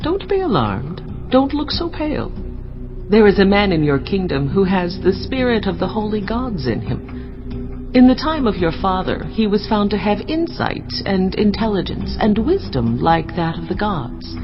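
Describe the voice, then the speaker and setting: A woman's voice: mid-range voice, sing-song, unhurried. An actress playing a composed queen of ancient Babylon giving counsel in a dramatized scripture reading, declamatory and reassuring. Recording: dull lo-fi audio with music.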